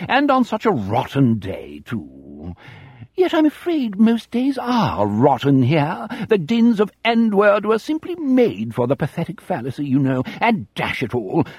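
A man's voice: fairly high pitch, darkish tone, husky, no room sound, studio quality, pitched above the performer's own voice, exaggerated voice. Figure: Lord Malshun, a man doing a plummy villain. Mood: mock-pleasant. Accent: put-on posh English. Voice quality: affected, plummy, theatrical. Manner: breezy mock-cheerful menace. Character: sinister ghoulish male lord posing as a foppish English aristocrat.

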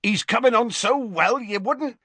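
A man reading an audiobook voices a huge bearded gamekeeper, gruff and kindly, calling out over the wind. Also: very high-pitched, unhurried, sharp tone, no echo, voice pushed up, heavily acted. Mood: alarmed.

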